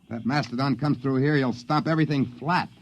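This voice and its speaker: A man's voice: medium pitch, a little fast, lightly acted. An actor playing a blunt, practical male time traveler in a 1950s radio drama. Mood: wary. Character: practical trigger-ready male frontier adventurer. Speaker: Coop, time traveler stranded in prehistory. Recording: dull lo-fi 1950s radio broadcast with faint hiss.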